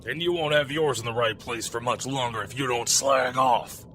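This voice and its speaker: A man's voice: medium pitch, normal speed, pushed and bright, faint music behind, lightly acted. A man voicing a grim, irritable robot hunter in a fan radio drama, curt, menacing and full of contempt. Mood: irritated, threatening. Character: grim, irritable robot manta-ray hunter bent on revenge.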